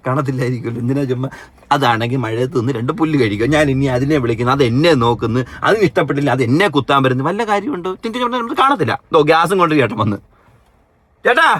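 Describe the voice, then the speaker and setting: Male Kerala commentator, high-pitched and sharp, mocking and casual, closely recorded dry sound. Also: dry recording. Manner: mocking, sarcastic, reads comments aloud theatrically. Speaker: online commentary host.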